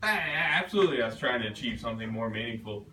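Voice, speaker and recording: A man speaking as an author in a casual question-and-answer session, in a halting, self-deprecating way with casual swearing. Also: mid-range voice, lively intonation, pushed and bright, distant mic, slight background noise. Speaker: author at a reading. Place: bookstore event space. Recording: roomy, echoey, picked up from afar.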